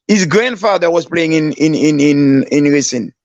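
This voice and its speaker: A man with a Haitian accent and a gravelly, fairly high voice tells stories as a guest. He is animated and acts out the dialogue he quotes. The recording is dry and a little dull. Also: normal speed, dry recording. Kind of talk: casual storytelling.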